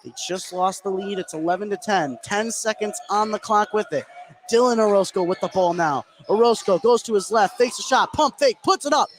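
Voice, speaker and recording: A man doing live play-by-play basketball commentary for radio, getting excitable on big plays. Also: high-pitched, normal speed, soft background music. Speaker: play-by-play sports announcer. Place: indoor school gym. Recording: clearly noisy live gym broadcast. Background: gym ambience and noise.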